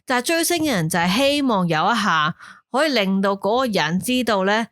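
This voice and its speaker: A woman with a Hong Kong Cantonese accent co-hosting a casual podcast chat, emotional and animated, swearing freely when worked up. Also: mid-range voice, fairly quick, dry recording, clean studio sound. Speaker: podcast co-host.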